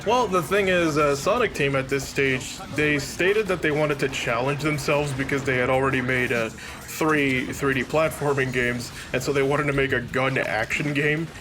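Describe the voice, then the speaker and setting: Casting: male co-commentator, average pitch, casual chat with game music underneath. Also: normal speed, noisy background. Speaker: let's play co-commentator.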